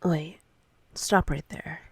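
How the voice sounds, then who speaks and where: Woman acting as controlling female boss, low-pitched, very breathy husky whisper, slow deliberate delivery, seductive teasing, ASMR roleplay. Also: steady tone, dry recording, heavily acted. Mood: stern, controlling.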